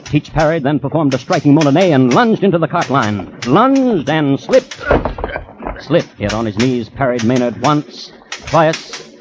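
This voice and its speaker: A man playing a crime historian who narrates an old radio drama in a measured, dryly ironic way. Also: medium pitch, sing-song. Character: dry, storytelling male crime historian. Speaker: host and narrator. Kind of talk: 1950s radio scripted narration. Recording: dull old radio recording, faint noise.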